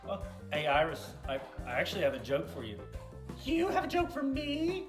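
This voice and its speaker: Hesitant, low-key man in a roomy space. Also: huge pitch swings, moderate pace, music in the background. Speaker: off-camera sidekick on a kids' puppet show. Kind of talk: casual unscripted banter. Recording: distant off-camera voice, roomy echo.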